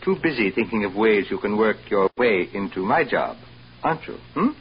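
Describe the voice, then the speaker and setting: A man's voice: lowish voice, lively intonation, normal speed, lightly acted. An actor playing a smug hotel boss who talks down to his deputy in a pompous, taunting manner, in old-time radio drama style. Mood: mocking. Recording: muffled, faintly noisy old radio recording.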